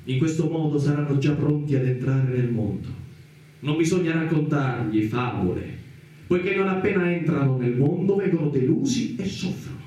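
An actor playing a pompous, zealous judge in a radio play, declaiming with theatrical, drawn-out emphasis. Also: male voice, on the high side, expressive, mellow, close to an everyday voice. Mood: stern preaching. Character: pompous, zealous male judge condemning a heretic. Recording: very echoey, distant from the mic.

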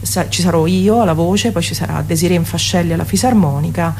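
Female radio guest, fairly low register, thinking aloud with hesitations and restarts, recorded amid clear ambient noise. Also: fairly quick, darkish tone. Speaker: singer in a band, interview guest. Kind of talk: reflective radio interview talk. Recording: clearly noisy background ambience.

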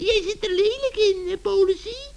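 Man putting on a very shrill, cackling witch. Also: gravelly, a little mellow, heavily acted. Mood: taunting glee. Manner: cackling, theatrical, mock-sweet taunting. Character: gleeful, gloating, wicked old female forest witch. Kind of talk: children's radio play storytelling.

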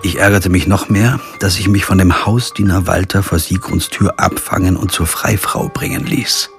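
A man playing a lawyer who narrates a crime radio drama in a measured, understated way. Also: sits low, fairly even intonation, creaky. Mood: calm, probing. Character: male lawyer, sober narrator of a crime story. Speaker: narrator and lead actor. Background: music.

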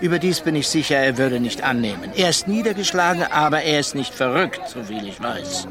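An actor playing a terse, sceptical ship's captain in a radio drama, speaking drily. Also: male voice, a little high, animated, fairly quick, lightly acted. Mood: dry, dismissive. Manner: dry, terse, unimpressed. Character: curt, sceptical seaman captain, also narrating. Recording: busy outdoor crowd behind.

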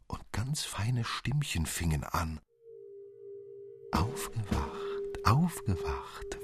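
A man narrating a literary fairy tale in an expressive, theatrical storytelling manner. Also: sits low, breathy edge, no room sound. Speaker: audiobook narrator.

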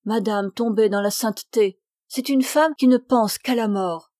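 Woman putting on a loud old peasant servant. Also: a little high, unhurried, no room sound, studio quality, close to an everyday voice. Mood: garrulous.

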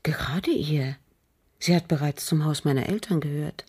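An actress playing a bitter, cold aristocratic French widow in a radio crime drama, speaking formally and guardedly with a husky, breathy voice. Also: female voice, low voice, darkish tone. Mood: guarded, controlled. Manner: measured, guarded, formal, with pauses. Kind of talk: German radio drama acting.

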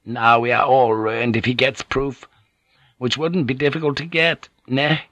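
Male narrator as shrewd Japanese businesswoman, audiobook: raised pitch, bright, deferential, insistent. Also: measured pace, dry recording, full character voice. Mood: bitter, urgent. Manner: flattering, insistent, humbly courteous.

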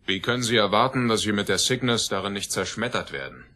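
A man's voice: lowish voice, lively intonation, quick pace, bright, forceful tone, a little hiss or hum. A man playing a blunt, skeptical member of a spaceship crew in a radio play, speaking in a challenging way.

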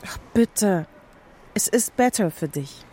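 An actress playing a secretive lover in a radio drama, speaking in a coaxing, intimate way. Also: female voice, mid-range voice, lively intonation, takes it slowly, mellow, a little hiss or hum. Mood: pleading. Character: soft, coaxing, secretive woman, the reporter's lover.

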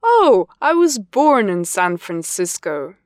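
A woman reading scripted English course dialogues in a deliberate, carefully enunciated way. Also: mid-range voice, very animated, no echo, studio quality. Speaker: language course voice actor.

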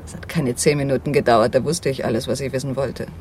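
A cunning femme fatale in a 1980s radio crime drama. She speaks with cool flirtation, slipping into soft murmurs and asides. There is clear noise on the recording. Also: rumbling low, steady tone, quick pace. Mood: confiding, coolly casual. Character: seductive, cunning female swindler confessing to a detective.

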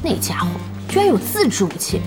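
A lively, exasperated young gamer woman. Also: sits high, a little fast. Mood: excited. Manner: expressive, emphatic, chatty. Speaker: voice actor in an audio drama. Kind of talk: animated Chinese audio drama acting. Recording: music bed under the dialogue.

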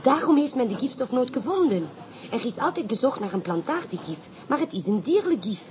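Self-assured, animated amateur sleuth wife. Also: female voice, on the high side, brisk, a little hiss or hum. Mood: intrigued. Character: intuitive, self-assured inspector's wife, playfully peppering French. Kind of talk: radio play acting.

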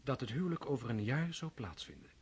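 A man's voice: medium pitch, very animated, fast, breathy edge. An actor playing an earnest, formal young nobleman asking for a girl's hand in a radio play.

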